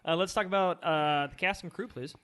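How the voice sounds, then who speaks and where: A man hosting a film podcast in relaxed, casual conversation, putting questions to his co-hosts. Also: high voice, fairly quick, clean tone. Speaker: podcast host.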